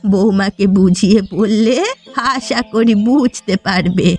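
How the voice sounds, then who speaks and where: A woman's voice: mid-range voice, unhurried, dark tone, soft background music, close to an everyday voice. A woman playing a poor, weary old village fisherwoman in a cartoon story, speaking in a plaintive, resigned, folksy way. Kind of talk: Bengali cartoon story dialogue.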